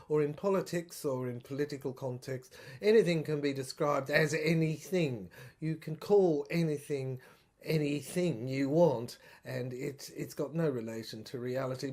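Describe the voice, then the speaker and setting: A man playing a stammering, pompous government official, higher-pitched. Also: a little mellow. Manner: stammering, rambling, pompous, mock-genteel. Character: smug, dithering male government official in a dystopian regime. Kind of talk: improvised-sounding audio drama monologue.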